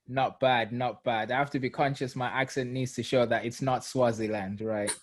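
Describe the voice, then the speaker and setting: Man, thirties, from Zimbabwe, interview guest, unhurried reflective storyteller, mid-pitched voice. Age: 30s. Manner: relaxed, reflective storytelling with fillers. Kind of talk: casual interview.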